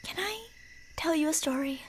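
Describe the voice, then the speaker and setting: A woman playing a creepy, delusional stalker posing as a park ranger in an ASMR roleplay, teasing and falsely sweet, slipping between soft speech and whispers. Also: high voice, animated, normal speed, a little hiss or hum. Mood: falsely sweet, menacing.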